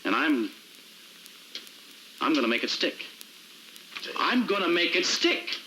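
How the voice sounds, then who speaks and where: An actor playing an indignant, driven city prosecutor in an American crime drama, with a wry, wordy, sarcastic manner. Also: male voice, mid-range voice, big pitch swings, a little fast, bright, forceful tone, a little hiss or hum, rough recording. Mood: angry, sarcastic.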